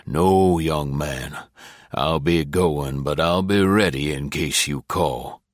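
A man playing a calm, grimly murderous old farmer with a measured rustic drawl in an audiobook. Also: very deep voice, dry recording, studio quality. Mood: wary, knowing. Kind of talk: audiobook character acting.

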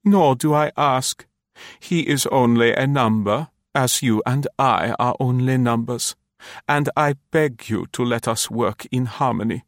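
A man voicing an elderly woman companion who speaks in a slow, reproving way. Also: mid-range voice, dry recording, clean studio sound, voice pushed up, close to an everyday voice. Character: fussy elderly female companion, disapproving.

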